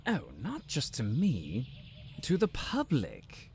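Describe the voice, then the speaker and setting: An actor playing a pompous, conniving town advisor in a fantasy audio drama, haughty and mock-polite in a drawn-out delivery. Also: male voice, mid-range voice, wildly swooping pitch, gravelly, a little mellow, slight background noise, full character voice. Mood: menacing. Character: pompous, conniving male town advisor, smug and easily enraged. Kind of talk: fantasy audio roleplay acting.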